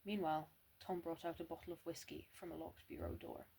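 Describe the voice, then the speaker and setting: A young woman reads a classic novel aloud at home, often tripping over words and starting them again. Her voice is husky and sits low for a woman. The delivery is plain and unpolished. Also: big pitch swings, fairly quick, mellow. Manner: stammers and restarts words, plain reading. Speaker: amateur reader.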